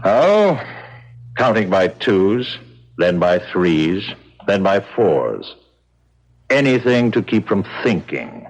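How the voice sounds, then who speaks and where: An actor in a 1970s radio drama playing the calm, detached boss of a grim prison, unhurried and coolly understated. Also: male voice, on the low side, heavily acted. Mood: cool, resigned. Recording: dull, muffled old radio recording.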